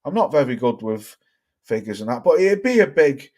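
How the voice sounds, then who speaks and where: A man's voice: medium pitch, sing-song, moderate pace, darkish tone. A young man from Cheshire with a mixed Cheshire and Stoke accent, hosting a casual livestream, reading viewer comments and chatting bluntly with plenty of swearing. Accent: Cheshire English mixed with Stoke. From Cheshire, England. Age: young adult. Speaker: livestream host.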